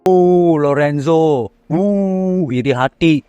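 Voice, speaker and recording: A woman's voice: sits low, takes it slowly, mellow, clean tone, no echo, muffled recording. A woman with an Indonesian accent reacting casually to gameplay as a streamer. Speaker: gaming streamer. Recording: close dry mic, game music behind.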